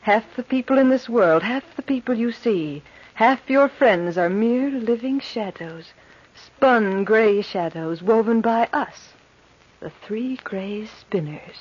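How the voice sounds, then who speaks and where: A woman's voice: fairly high pitch, a little mellow. A woman playing a serene, sinister supernatural spinner of fates in an old radio horror drama, murmuring ominously. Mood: sly, proud. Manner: measured, ominous, breathy murmuring. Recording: dull old radio recording.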